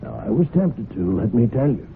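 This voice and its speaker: An actor playing an older, paternal judge in a vintage radio drama, deliberate and gravely persistent as he admonishes. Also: medium pitch, sing-song. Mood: reproving. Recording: muffled, noisy old radio broadcast recording.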